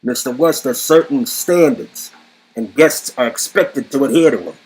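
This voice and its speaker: A man playing a gruff, impatient guard who orders prisoners around in a curt, husky voice. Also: medium pitch, normal speed, darkish tone, lightly acted, low-quality recording. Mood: commanding.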